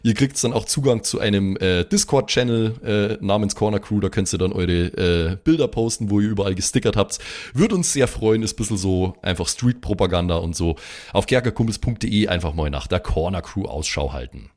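A man's voice: lowish voice, brisk. A man co-hosting a tabletop podcast, chatting casually with slang and plugging the show to listeners. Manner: energetic, laid-back slang. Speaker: podcast host. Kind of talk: casual chat and plug.

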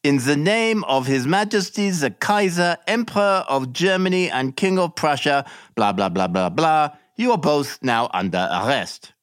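A man's voice: fairly high pitch, animated, moderate pace, hardly any pauses, no room sound, clean studio sound, pitched above the performer's own voice, lightly acted. A man voicing a tall official pompously reading out an arrest warrant.

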